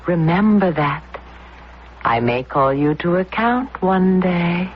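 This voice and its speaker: An actor playing a cool, commanding schemer in an old-time radio drama, speaking in a deliberate, teasing way. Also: male voice, high voice, expressive. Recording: dull, noisy old radio broadcast.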